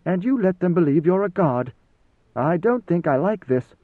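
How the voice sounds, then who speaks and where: A man's voice: high voice, animated, moderate pace, voice pushed up. A man voicing a proud male warrior who is blunt, wary and full of challenging questions, in an audiobook reading. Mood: resentful, challenging. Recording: slightly roomy, phone-like narrow sound.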